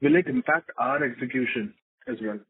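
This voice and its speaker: A man's voice: medium pitch, fairly quick. A man, a fund analyst, politely asking executives questions on an earnings call and calling them sir. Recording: tinny phone line with clear noise.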